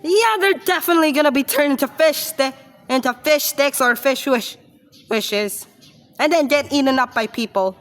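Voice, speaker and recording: A woman's voice: high voice, moderate pace. A woman giving casual reaction commentary, rambling and often repeating herself. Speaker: reaction video host.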